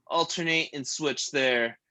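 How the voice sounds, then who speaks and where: A man in his thirties leading a stretch class, joking between calm breathing cues. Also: fairly high pitch, measured pace. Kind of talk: guided stretching class with casual chat.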